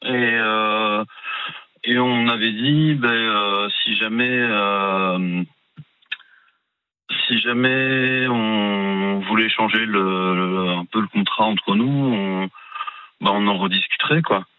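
40ish guy with a bit of a norman accent calling a radio show, tinny phone line, halting, lots of fillers. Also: fairly low pitch, clear, resonant tone, dry recording. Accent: Norman French. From Cherbourg, France. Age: 40s. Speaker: radio caller. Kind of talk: phone-in chat.

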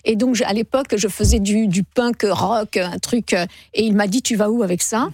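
A woman in her sixties, a singer guest on a radio show, telling stories in a lively, chatty way. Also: medium pitch, normal speed, breathy edge, no room sound, studio quality. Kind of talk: casual radio interview. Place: radio studio. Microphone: studio microphone.

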